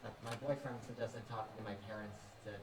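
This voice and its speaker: A young man in a student stage play, acting an anxious, self-doubting high-school boy who speaks hesitantly and stammers. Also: steady tone, fast, lightly acted. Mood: anxious, defensive. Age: young adult. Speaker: student actor in a one-act play. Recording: echoey, distant mic, clear noise.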